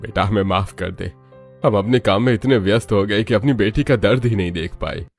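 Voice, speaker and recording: A man's voice: on the low side, brisk, close to an everyday voice. Man acting an indignant father, music behind. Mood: remorseful. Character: protective, indignant middle-aged Indian father. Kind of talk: melodramatic Hindi cartoon family drama. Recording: music under the voice. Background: background music.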